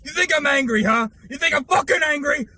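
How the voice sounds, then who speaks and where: A man's voice: very high voice, lively intonation, brisk, pushed and bright, a little hiss or hum, full character voice. A man playing a furious, hateful ranter who screams and swears in a violent tirade.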